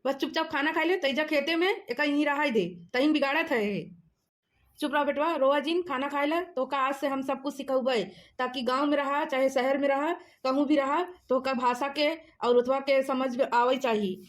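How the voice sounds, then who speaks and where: A woman plays an exasperated village mother from a comedy cartoon. Her voice is high, with a rustic eastern Uttar Pradesh accent. She scolds and threatens with a sharp tongue. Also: fairly even intonation, moderate pace, lightly acted. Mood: indignant, protective. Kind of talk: comic cartoon dialogue.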